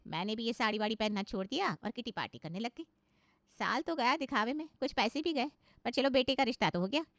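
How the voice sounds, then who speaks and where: Woman as a gossipy, high-voiced boastful aunt. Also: animated, quick pace, fairly bright tone, no echo. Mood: boastful, advising. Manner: chatty, knowing, boastful. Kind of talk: cartoon moral story voice.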